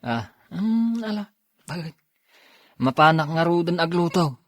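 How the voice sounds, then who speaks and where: Casting: man as easygoing husband who wheedles, Ilocano accent, lively melodic delivery, dry radio-style drama sound. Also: on the high side, measured pace, no room sound. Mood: apologetic, coaxing. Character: easygoing, apologetic husband who drinks, pleading and teasing.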